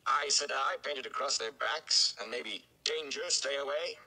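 A man voicing a stout old village mayor, flustered and blustering, with an emphatic, excitable delivery in a storybook reading. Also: medium pitch, a little slow, vocal fry, voice pushed up, heavily acted, rough recording. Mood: wry.

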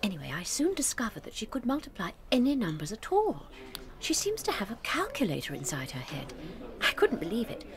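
Casting: sweet British schoolteacher in children's audio drama, mid pitch, lively intonation, patient and earnest. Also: female voice, brisk. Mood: earnest, amazed. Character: sweet, gentle young female primary school teacher. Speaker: teacher.

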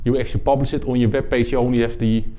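Mellow-voiced Dutch man giving a tech talk. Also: mid-range voice, flat delivery. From Netherlands. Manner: halting, many uh fillers and restarts. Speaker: product owner presenting at a conference. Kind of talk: technical conference talk. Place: conference room with audience.

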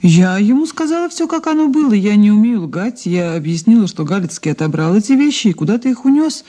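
A woman's voice: mid-range voice, expressive, brisk, mellow, natural voice. An actress playing a refined, evasive society lady under questioning in a Soviet radio play, with genteel, sighing, hesitant speech. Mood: defensive.

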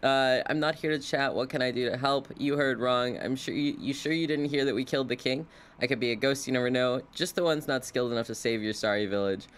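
A man's voice: medium pitch, a bit bright, clear, resonant tone. A man streaming a video game with casual, rambling commentary, joking playfully and reading dialogue options aloud. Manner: playful, rambling, quick to joke.

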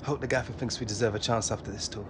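A man's voice: medium pitch, fairly even intonation, racing, lightly acted. A British man playing a modest, awestruck young footballer in a game cutscene, chatty and laddish. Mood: hopeful. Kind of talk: naturalistic game cutscene acting. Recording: noisy aircraft cabin ambience.

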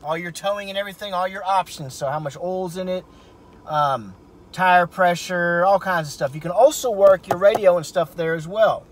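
Middle-aged man, high-pitched, informal car-walkaround presenter, recorded on camera in a truck cab with some background noise. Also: normal speed. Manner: folksy, informal. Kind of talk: casual vehicle walkaround. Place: inside a pickup truck cab in a parking lot. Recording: camera audio with cabin noise.

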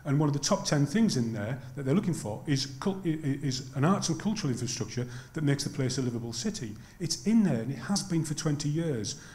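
A man's voice: on the high side, expressive, darkish tone. An older man answering an audience question at a university talk, full of restarts and repeated words, holding a handheld mic in a lecture theatre. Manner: halting, many restarts, then earnest. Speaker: panel speaker. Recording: echoey lecture hall.

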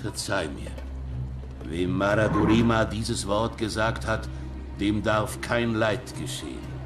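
An actor playing a stern, honourable warrior chief who speaks in a formal, commanding manner. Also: male voice, fairly low pitch, slow pace, over loud music. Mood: solemn. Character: stern male Kurdish warrior chief, guarded but honourable. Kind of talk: audio drama acting.

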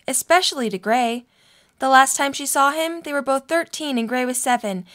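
A woman's voice: high voice, fairly bright tone. A young adult woman reading scripted story narration for an audio drama.

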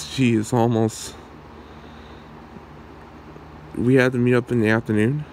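A man acting a shy, lovestruck young man with a hidden secret in an ASMR roleplay, speaking haltingly and awkwardly in a low, gravelly voice. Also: level tone, a little mellow, slight background noise, close to an everyday voice. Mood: uneasy.

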